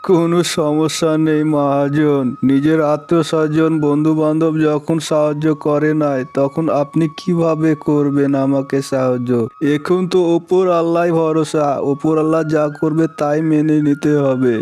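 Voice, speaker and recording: A man's voice: on the high side, flat delivery, moderate pace, hardly any pauses, mellow, faint music behind, lightly acted. A man playing a troubled, humble village father in a cartoon moral story, earnest and plaintive. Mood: dejected.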